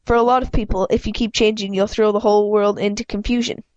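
A woman voicing a soft-spoken, calmly firm female reality police officer in a storybook, gentle and explanatory. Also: mid-range voice, fast, dry recording, muffled recording, close to an everyday voice. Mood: firm, soothing.

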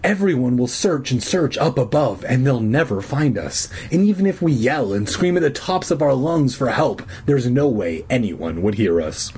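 A man's voice: medium pitch, animated, normal speed, few pauses, a little mellow, husky. A man voicing a frightened, insistent schoolkid in a scary storybook, with breathless, exclaiming delivery.

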